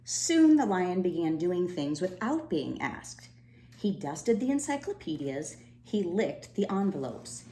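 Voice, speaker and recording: A woman reading a children's storybook aloud with expressive, dramatic delivery. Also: fairly low pitch, measured pace. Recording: roomy, echoing room sound.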